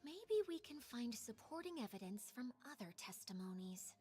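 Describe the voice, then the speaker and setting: A woman's voice: a little high, sing-song, normal speed, dark tone, faint music behind. A woman voicing a polite, composed young female investigator with an analytical manner in a video game.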